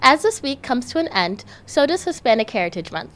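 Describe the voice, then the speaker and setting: A woman hosting a school news show, reading scripted introductions in an upbeat, clear way. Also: high voice, very animated, fairly quick, fairly bright tone. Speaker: student news host.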